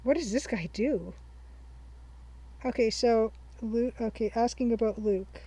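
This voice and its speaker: A young woman hosting a game playthrough, chatting casually and thinking aloud as she reads her notes slowly. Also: on the high side, warm tone, breathy edge. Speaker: let's-play host. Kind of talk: casual let's-play commentary.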